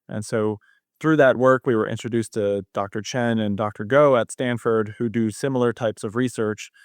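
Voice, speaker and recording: A man's voice: on the low side, dry recording, clean studio sound. A young man speaking as an expert podcast guest, explaining his work conversationally in an interview. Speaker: cardiology fellow, podcast guest.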